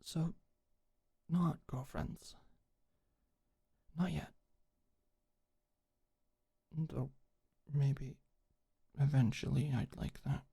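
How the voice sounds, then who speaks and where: A young woman playing a tough but awkward, secretly tender delinquent girl in an intimate ASMR roleplay, speaking softly and hesitantly. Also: extremely low pitch, steady tone, takes it slowly, vocal fry, long pauses, slightly rough, full character voice. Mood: nervous, earnest.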